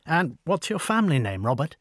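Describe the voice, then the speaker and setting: A man voicing a tall, proud older woman with an upper-class English accent, brisk and wry in an audiobook. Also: on the high side, sing-song, dry recording, clean studio sound, voice pushed up. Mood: commanding. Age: over forty. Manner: brisk, commanding, wry and talkative. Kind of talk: audiobook dialogue.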